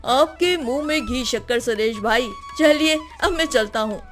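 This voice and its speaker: Woman acting humble bachelor neighbour, high voice, effusive, plaintive, cartoon moral tale, music underneath. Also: moderate pace, lightly acted. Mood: polite, hesitant. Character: Popatlal, lonely self-pitying bachelor, humble younger man.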